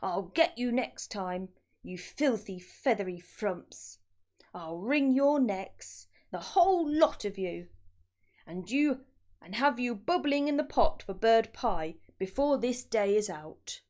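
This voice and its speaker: A woman's voice: medium pitch, expressive, slow pace. A woman voicing a nasty, bullying man from a children's storybook, barking like a fearsome trainer. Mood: enraged threats. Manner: barking, shouted commands and threats. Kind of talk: children's storybook read-aloud.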